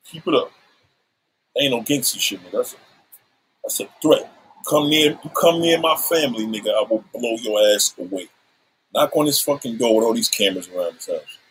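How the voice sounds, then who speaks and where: A man's voice: medium pitch, fairly quick, fairly bright tone, a little hiss or hum, rough recording. A man in his seventies ranting on a livestream, combative and profane, issuing threats and calling out rivals. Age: 70s.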